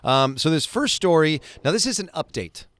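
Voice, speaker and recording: A man in his thirties co-hosting a holiday podcast, excitable and chatty as he tells a story. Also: fairly high pitch, moderate pace, no room sound. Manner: animated, excitable, lots of fillers. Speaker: podcast co-host. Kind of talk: casual chat, storytelling.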